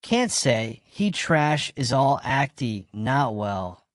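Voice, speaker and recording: A man playing a terse, unhurried farm hand in a radio drama, with a laconic manner. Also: medium pitch, sing-song, no echo, studio quality. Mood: dry, matter-of-fact.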